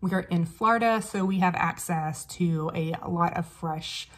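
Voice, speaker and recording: A woman's voice: on the low side, moderate pace. Chatty woman showing her groceries. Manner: chatty, lists items with prices. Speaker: family vlogger. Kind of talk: casual grocery haul vlog. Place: home kitchen, indoors.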